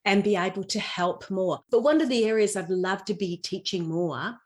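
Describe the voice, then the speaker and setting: A woman's voice: mid-range voice, normal speed. Chatty Australian woman, earnest and conversational. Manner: chatty and earnest, explains with everyday examples. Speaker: podcast guest, intuition teacher and digital marketer. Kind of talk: conversational interview answers.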